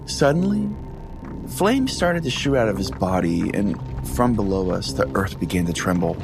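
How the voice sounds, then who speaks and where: A man hosting a podcast, reading a scripted first-person comic story in a deadpan manner. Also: deep voice, moderate pace, gravelly, rough recording. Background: music.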